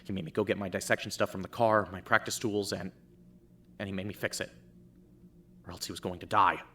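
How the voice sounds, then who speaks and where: A man voicing a shaken teenage boy in a fan audio drama, who talks haltingly and stumbles over repeated words. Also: low voice, steady tone, bright, forceful tone, a little hiss or hum. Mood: ashamed, halting.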